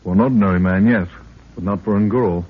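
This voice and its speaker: An actor playing an eager, respectful young adventurer in 1930s radio drama style. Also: male voice, lowish voice, fast, natural voice. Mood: curious, admiring. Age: young adult. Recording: tinny, band-limited 1930s radio recording.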